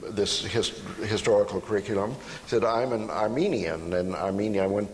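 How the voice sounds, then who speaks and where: A man speaking on a panel in an informal public discussion, with a halting, reflective and wry manner. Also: on the low side. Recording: noisy, long hall reverb, live event.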